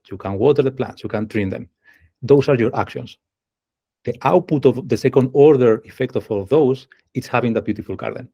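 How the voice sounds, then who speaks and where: A man's voice: mid-range voice, warm tone. A man from Spain with a Spanish accent, an engineering manager giving an online presentation over a video call and explaining step by step while putting questions to his audience.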